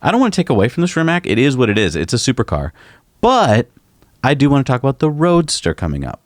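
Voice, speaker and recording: A man's voice: medium pitch, huge pitch swings, fast, dry recording, studio quality. A man co-hosting a podcast, explaining technical ideas with enthusiasm in casual chat. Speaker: podcast co-host.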